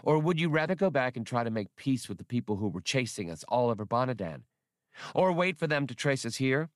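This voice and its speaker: A man voicing a sensible, sharp-tongued woman traveler who reasons with dry sarcasm, in an audiobook. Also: medium pitch, big pitch swings, a little fast, no echo, pitched above the performer's own voice, close to an everyday voice. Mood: sensible, pointed.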